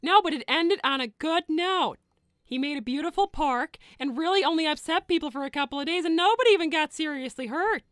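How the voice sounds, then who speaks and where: A woman's voice: sits high, animated, a little fast, a bit bright, dry recording, clean studio sound. A woman voicing a motherly fox, naive, chatty and stubbornly opinionated, in an improvised livestream roleplay. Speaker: character on a live roleplay show. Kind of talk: improvised in-character livestream chat.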